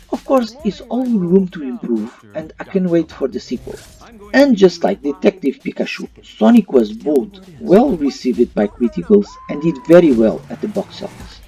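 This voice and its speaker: A man's voice: fairly high pitch, mellow. A man who speaks English as a second language, hosting an opinionated video review with non-native phrasing. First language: not English. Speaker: host of a retro gaming video channel. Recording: distant mic, faint music underneath.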